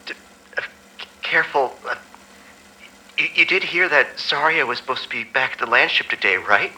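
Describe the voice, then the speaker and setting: A man's voice: mid-range voice, animated, normal speed, sharp tone, rough recording. A man playing a timid, nervous intern in an audio drama, stammering and hesitant as he speaks. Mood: nervous, cautious. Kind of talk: amateur audio drama acting.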